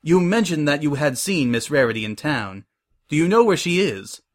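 No-nonsense lady pony boss, read by a male narrator: polite, measured, stern with a kind streak, storybook style. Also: on the high side, animated, close to an everyday voice. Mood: brisk.

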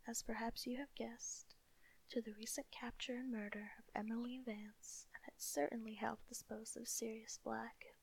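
A woman whispering the lines of a cold, sardonic male wizard who speaks smoothly and deliberately, as in a quiet audiobook reading. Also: fairly high pitch, low-quality recording. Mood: cutting. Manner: whispered throughout.

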